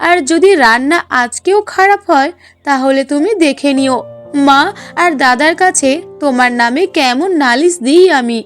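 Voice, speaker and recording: A woman's voice: high voice, normal speed, faint music behind, lightly acted. A woman voicing a spiteful, whiny young sister-in-law in a cartoon, scolding and demanding. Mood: bossy, threatening. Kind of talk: Bengali cartoon dialogue.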